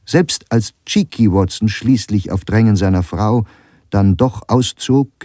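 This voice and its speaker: A man reads scripted documentary narration in a measured, factual way. His voice is fairly low and mellow, recorded very dry in a studio. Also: no room sound.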